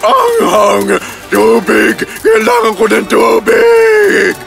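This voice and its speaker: A bullying, greedy monster for a children's cartoon tale. The voice is gravelly and swings widely in pitch as it menaces and bellows, with music underneath. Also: male voice, huge pitch swings, drawn-out words, heavily acted. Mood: panicked bellowing. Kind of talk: animated children's story acting.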